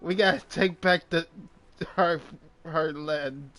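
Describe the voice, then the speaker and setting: A man's voice: a little high, fairly even intonation, slow, fairly bright tone, soft background music. A man giving casual gameplay commentary with playful, mock-dramatic asides. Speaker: gaming video host. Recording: clearly noisy home recording.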